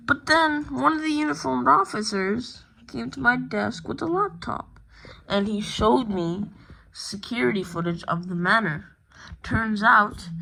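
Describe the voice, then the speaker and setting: A woman's voice: mid-range voice, vocal fry, sharp tone, full character voice, rough recording. A woman playing an earnest, determined detective's assistant who narrates a radio play in plain first-person storytelling.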